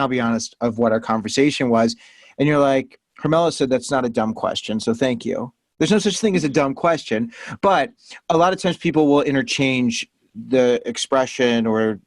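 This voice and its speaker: A man's voice: mid-range voice, dry recording. Curious man hosting a panel. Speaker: host. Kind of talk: panel interview.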